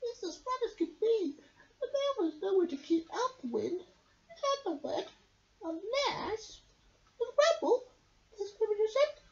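A tiny squeaky girl dormouse from a storybook, put on by a man, extremely high and very lively in pitch. She sounds cheery and pleading, on a dull home recording. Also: unhurried, long pauses, full character voice. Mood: distressed pleading. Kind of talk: picture-book story reading.

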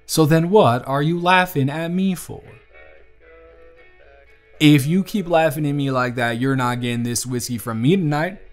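A man's voice: on the high side, measured pace, lightly acted. A man playing a teasing, affectionate young bartender with a Southern US accent and a folksy manner, in a romantic audio roleplay. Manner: folksy, playful, affectionate drawl. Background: music.

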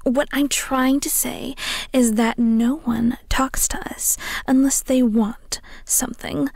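An actress plays a possessive, jealous young woman in an audio drama. Her high voice is breathy and often whispery, and she takes her words slowly. She sounds proud but insecure. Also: steady tone, darkish tone, no room sound, heavily acted. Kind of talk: scripted roleplay audio drama.

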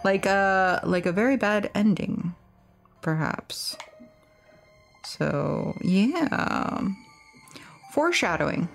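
A woman giving playful, expressive let's play commentary on a game, excitable and theatrical when reading aloud. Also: mid-range voice, drawn-out words, vocal fry, long pauses, soft background music. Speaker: game streamer and host.

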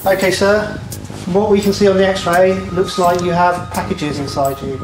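A man's voice: sits high, fairly even intonation, moderate pace. A man with a British accent speaking in an official, procedural way as a customs officer. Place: indoor airport rooms. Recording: echoey room with music added.

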